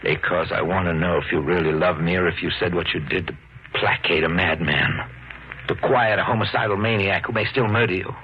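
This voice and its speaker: An old, band-limited radio recording with a low-voiced, brooding husband. The man speaks with bitter, ominous calm in the manner of a 1950s mystery drama. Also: a little fast, slightly rough, dull audio. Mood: challenging.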